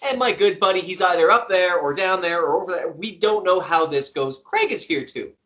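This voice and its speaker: A man's voice: sits high, fairly quick, a bit bright. A man hosting a casual zine show, joking and upbeat as he plugs his own work. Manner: jokey, upbeat plugging. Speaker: podcast host.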